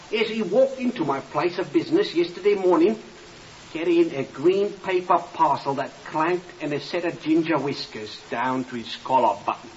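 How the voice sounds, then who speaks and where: Chatty indignant barber guy acting, highish voice, hissy old radio drama. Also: lightly acted. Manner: garrulous, indignant storytelling. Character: garrulous, indignant small-town English barber. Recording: dull, hissy 1950s radio recording.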